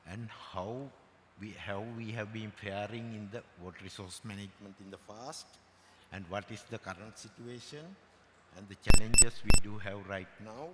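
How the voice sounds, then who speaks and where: Low-voiced Sri Lankan man in a noisy hall. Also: fairly even intonation, moderate pace. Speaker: conference delegate presenting. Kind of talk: formal conference presentation. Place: large conference hall, through PA. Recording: echoey hall, loud noise, clicks.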